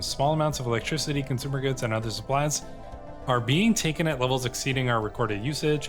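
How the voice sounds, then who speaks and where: A man giving casual gameplay commentary, thinking aloud tentatively and sometimes reading game text out. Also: medium pitch. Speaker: video game streamer and host. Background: game music.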